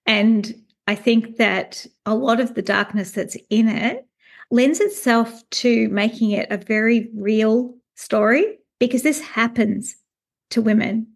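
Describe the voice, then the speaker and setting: Casting: female book-chat host, highish creaky voice, effusive delivery. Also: slow. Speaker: interview host.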